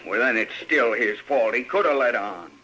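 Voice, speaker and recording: An American actor playing a cowardly frontier thug in a 1950s radio western, husky, pleading and defensive. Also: male voice, medium pitch, animated, sharp tone, muffled recording. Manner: pleading, defensive, folksy drawn-out protests. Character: whining, cowardly drunken frontier thug blaming everyone else.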